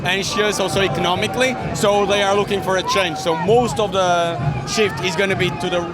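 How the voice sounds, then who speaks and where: A man's voice: sits high, steady tone, a little fast. A man from Portugal giving a conversational street interview as a protester outdoors. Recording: loud crowd and music on street. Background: loud crowd and music.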